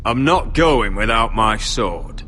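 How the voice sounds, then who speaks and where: Stern young lord, expressive pitch. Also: male voice, slow, exaggerated voice. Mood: stern, guarded. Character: defiant young noble lord, stern and guarded. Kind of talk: dramatic fantasy video game acting. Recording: music behind the voice.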